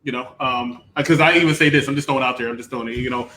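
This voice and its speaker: A man's voice: medium pitch, steady tone, racing, sharp tone, a little hiss or hum, poor audio. A man on a film review panel giving his opinions in casual, bantering talk with friends.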